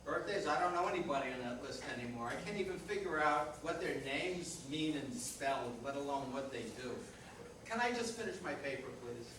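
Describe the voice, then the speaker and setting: A man's voice: fairly high pitch, animated, a little fast, lightly acted. Echoey, grumbling older husband played by a man. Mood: weary, irritated. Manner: dry, grumbling, conversational. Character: grumbling, distracted older husband reading the paper. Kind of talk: community reader's theater comedy. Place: reader's theater performance in echoey venue. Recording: very echoey, distant, noisy hall recording.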